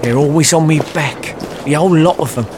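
A man's voice: on the high side, brisk, natural voice. Whining Cockney petty thief played by a man, street noise. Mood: self-pitying. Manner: whining, deferential. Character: scrawny, greedy, cringing small-time London thief. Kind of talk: character audio drama acting. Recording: street and traffic noise behind.

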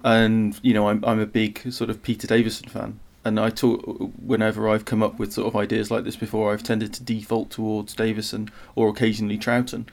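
A man's voice: lowish voice, monotone, brisk, creaky, few pauses, a little mellow. A man talking casually in an interview as a writer explaining his process. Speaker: short story writer. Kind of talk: casual interview talk about writing.

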